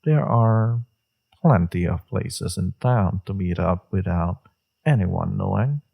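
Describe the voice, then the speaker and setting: Man acting a husky, slow, seductive villain. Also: low voice, expressive, warm tone, heavily acted. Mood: smug, flirtatious. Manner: teasing, self-assured, unhurried. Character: smug, seductive male villain, teasing his enemy. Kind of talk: romantic audio roleplay, intimate monologue.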